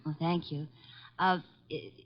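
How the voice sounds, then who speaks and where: An actress playing a timid, sweet young niece in a radio drama, hesitant and polite. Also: female voice, low voice, steady tone, slow pace. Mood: nervous. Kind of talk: 1950s radio acting. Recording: muffled old radio recording.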